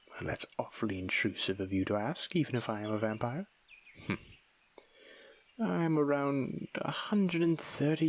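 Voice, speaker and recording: A man playing a lonely, young-looking aristocratic vampire in an intimate audio roleplay, guarded yet tender, with formal old-fashioned wording and a soft breathy delivery. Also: on the low side, a little hiss or hum, lightly acted. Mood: reserved, wistful. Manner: formal, old-fashioned wording, hesitant stammers when moved. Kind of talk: ASMR audio roleplay, intimate dramatic monologue.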